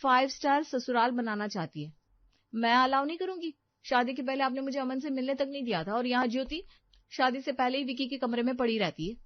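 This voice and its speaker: Lady doing annoyed young bahu, higher voice, protesting and hurt, dry kinda muffled story audio. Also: fairly quick, no echo, close to an everyday voice. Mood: indignant. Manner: aggrieved, protesting. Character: Simran, indignant young daughter-in-law. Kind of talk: Hindi audio story acting. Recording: slightly dull, dry studio recording.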